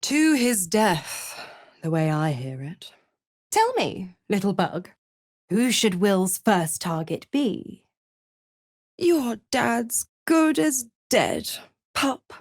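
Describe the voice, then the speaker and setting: A woman's voice: medium pitch, big pitch swings, drawn-out words, no echo, studio quality, exaggerated voice. An actress playing a seductive, scheming female devil in a video game, with a teasing, sardonic, theatrical and condescending drawl. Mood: cruel gloating. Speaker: video game character actor.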